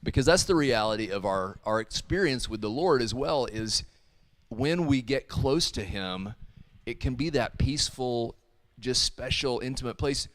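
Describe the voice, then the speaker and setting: A man's voice: medium pitch, expressive, moderate pace. A man who leads worship, talking in a rambling, earnest way with many restarts on a casual livestream. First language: not Hebrew. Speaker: worship leader and ministry video actor.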